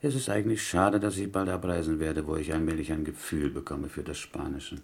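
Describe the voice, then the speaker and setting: A man acting in a German radio play as a talkative, pious butcher from Poland, gentle and wily, rambling along in inverted, Yiddish-style phrasing. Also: very deep voice, steady tone, fairly quick, a little hiss or hum. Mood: modest, pleased.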